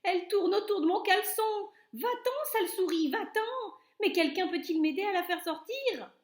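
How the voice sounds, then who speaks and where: A woman voicing a panicking male cook who yells in a frantic, high-pitched voice. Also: animated, moderate pace. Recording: roomy echo.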